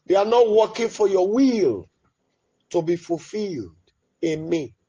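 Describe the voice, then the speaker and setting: Husky man crying out in fervent prayer. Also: big pitch swings, measured pace, mellow, highs cut off. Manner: impassioned, pleading, alternating cries and low murmurs. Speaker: prayer leader.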